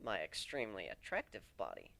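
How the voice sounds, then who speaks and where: A man reads a fantasy story aloud as its narrator, with a very animated pitch. His delivery is conversational and dramatic, recorded close and dry. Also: brisk, creaky, no echo. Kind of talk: dramatic first-person story reading.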